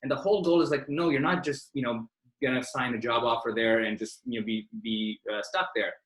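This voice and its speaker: Man, career mentor, quick casual speech, many fillers, echoey room. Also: mid-range voice, fairly even intonation, fairly bright tone. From Pakistan. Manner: informal and direct, lots of fillers, addresses listeners as "you guys". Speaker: career mentor hosting a webinar. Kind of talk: casual, interactive online presentation.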